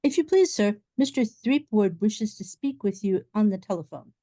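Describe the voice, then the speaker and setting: A woman's voice: mid-range voice, expressive, moderate pace, warm tone, natural voice. A woman playing a polite, deferential household servant in a radio play.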